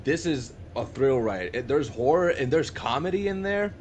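A young adult man talks in an informal, enthusiastic way, as if catching up with friends on a podcast. His voice sits at an ordinary middle pitch. He circles back and repeats himself as he goes, and a clear layer of background noise runs under his voice. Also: lively intonation, moderate pace, darkish tone. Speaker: podcast co-host. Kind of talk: casual chat among friends.

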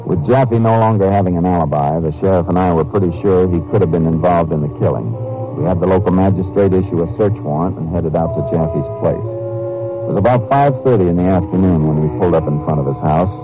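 A man's voice: low-pitched, brisk, lightly acted. An actor playing a shrewd, laconic Texas Ranger in a 1950s radio drama, matter-of-fact as he narrates his case. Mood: matter-of-fact narration. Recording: dull old radio recording, some music.

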